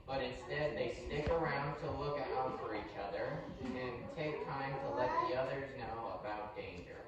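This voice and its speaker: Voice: mid-range voice, normal speed, a crowd in the background, close to an everyday voice. A performer voicing a friendly green sea creature on a submarine crew in a children's puppet show, talking in an earnest, folksy, simple way. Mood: curious, admiring. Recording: echoey hall from far off, loud audience noise.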